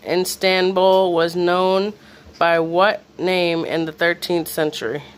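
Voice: medium pitch, level tone, slow pace. Someone casually reading quiz questions aloud, sometimes stumbling over the words, in a room at home. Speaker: quiz reader. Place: small room in a home, off camera. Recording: faint background noise, homemade video.

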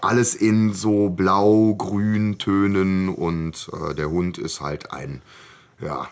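Male podcast co-host, lowish pitch, casual chat. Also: fairly even intonation, slow.

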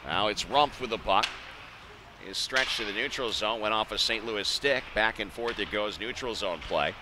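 A man's voice: lowish voice, normal speed. A man calling a live hockey game as a play-by-play radio announcer, in clipped running phrases. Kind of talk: live sports radio commentary. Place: ice hockey arena. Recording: noisy live ice arena ambience.

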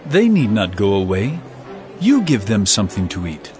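An actor playing a calm, authoritative male teacher in a dramatized scripture reading, commanding and expressive. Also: on the low side, moderate pace. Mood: gentle command. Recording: music bed behind voice.

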